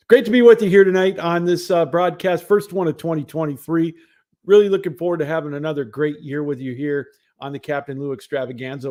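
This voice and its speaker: A man's voice: mid-range voice, darkish tone. A man hosting a casual solo sports broadcast, talking in a rambling, conversational way. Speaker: sports show host.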